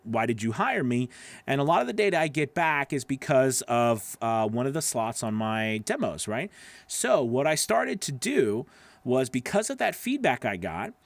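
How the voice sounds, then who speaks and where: A man's voice: medium pitch, no room sound. A man in his thirties or forties coaching voice actors in an informal, explanatory way, often checking in with the listener, close on a studio microphone. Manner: conversational, explanatory, frequent "right?" and "okay" check-ins. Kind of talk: informal tutorial talk to camera. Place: small home studio with acoustic panels, indoors. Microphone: close broadcast microphone on boom arm.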